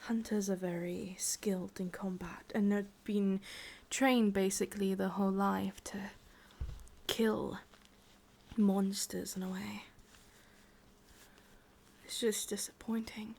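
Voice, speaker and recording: A woman with an English accent voicing a possessive, sadistic witch in a slow, breathy ASMR roleplay whisper. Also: medium pitch, fairly even intonation, a little mellow. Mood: bitter, cold. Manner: slow, intimate, teasing. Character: sadistic, possessive witch, softly menacing and doting.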